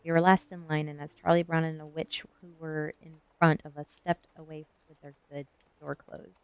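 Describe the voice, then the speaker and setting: A woman's voice: deep voice, flat delivery, normal speed, clean tone, dry recording. A woman reading a first-person novel aloud as ASMR storytelling.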